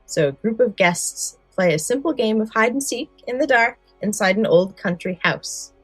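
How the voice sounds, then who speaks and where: A woman's voice: a little high, animated, moderate pace, fairly bright tone, husky, no echo, soft background music, low-quality recording. A woman co-hosting a podcast, moving between casual chat and prepared summaries. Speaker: podcast co-host.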